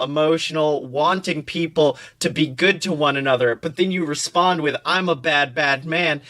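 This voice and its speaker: A young man with a bright, forceful voice that sits a little high. He chats casually and comedically as a podcast co-host, animated and slangy, swearing freely and delivering silly points with mock seriousness. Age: young adult.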